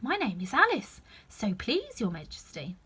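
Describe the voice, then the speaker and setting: A woman's voice: fairly high pitch, huge pitch swings, normal speed, sharp tone, husky, exaggerated voice. A woman voicing a polite, timid but curious little girl in a storybook, light and well-mannered. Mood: polite, plucky.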